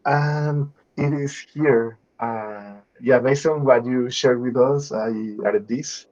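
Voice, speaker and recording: A man's voice: mid-range voice, takes it slowly, a little mellow. A man giving an informal update to a coaching group as a freelancer on an online call. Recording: slightly dull video call audio.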